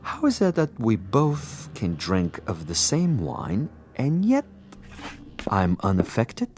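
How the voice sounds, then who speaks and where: An actor playing a suave, boastful assassin commissar in a radio drama, with pompous, precise and silkily polite diction. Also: male voice, fairly low pitch, huge pitch swings, takes it slowly, darkish tone, heavily acted. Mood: gloating. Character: suave, boastful male assassin commissar, coolly menacing connoisseur.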